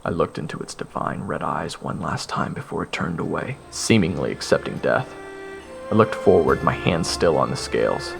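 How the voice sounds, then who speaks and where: A man's voice: extremely low pitch, expressive, normal speed, creaky, exaggerated voice. A man playing a nervous young swordsman, narrating a fantasy encounter in the first person with earnest, dramatic delivery. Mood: hushed, awed. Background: fantasy game-style music.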